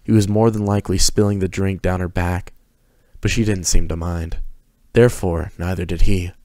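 A man narrates scripted fiction. His voice sits very low, and the recording is dry and close, with hardly any room in it. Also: steady tone, moderate pace, darkish tone, dry recording.

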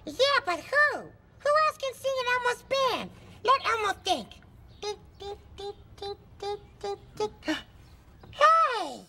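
A man's voice: very high voice, huge pitch swings, takes it slowly, heavy background noise, full character voice. A man performing a small, eager furry monster child who giggles and talks about himself in the third person. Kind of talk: children's puppet show.

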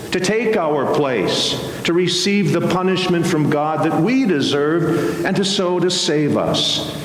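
An American man, middle-aged or older, preaching a sermon as a pastor with measured, emphatic phrasing and pauses. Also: medium pitch. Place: large church sanctuary, at the pulpit. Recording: very echoey church hall, faint hiss.

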